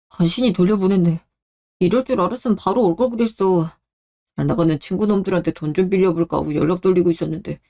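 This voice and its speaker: A woman's voice: on the low side, darkish tone, lightly acted. A woman voicing a protective adult husband in a radio drama, with a firm, blunt and earnest delivery. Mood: apologetic. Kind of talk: Korean radio-drama story acting.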